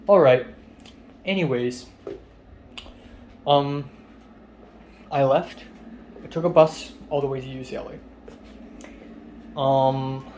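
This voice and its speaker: A man's voice: mid-range voice, darkish tone, a little hiss or hum. A young man from Hong Kong talking casually in a spoken video diary, frank and rambling, with frequent fillers and swearing. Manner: rambling, frank, swears freely, many ums. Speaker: vlogger.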